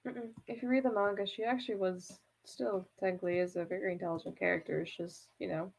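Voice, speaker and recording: A woman joins a casual stream chat with a mid-range voice. She teases her friend and drops in quick, offhand asides. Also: medium pitch, steady tone, gravelly.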